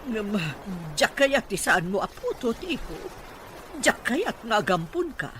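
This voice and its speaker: A woman's voice: on the high side, sing-song, a little slow, fairly bright tone, slight background noise. An actress playing an insistent older mother in a radio drama, with a pleading manner.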